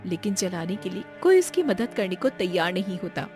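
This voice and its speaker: A woman's voice: lowish voice, big pitch swings, normal speed, a little mellow. A woman reading a scripted moral story for children as its narrator. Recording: music behind the voice. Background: background music.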